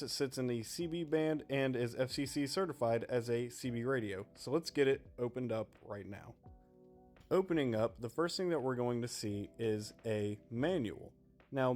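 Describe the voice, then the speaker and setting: A man's voice: mid-range voice, darkish tone. A man narrating a scripted product review in an explanatory, presenter-like way. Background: background music.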